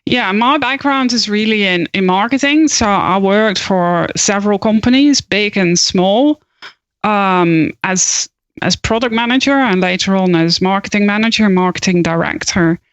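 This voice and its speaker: A woman's voice: mid-range voice, measured pace, no room sound. A woman from the Netherlands with a Dutch accent, a guest on a podcast, speaking thoughtfully with frequent hesitations. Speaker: guest, copywriter and coach. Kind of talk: podcast interview.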